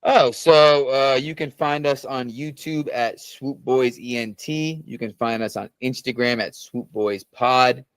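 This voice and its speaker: A man's voice: mid-range voice, measured pace, hardly any pauses, smooth, no room sound. A man in his thirties guesting on a sports podcast, joking and bantering. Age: 30s. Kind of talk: casual sports chat.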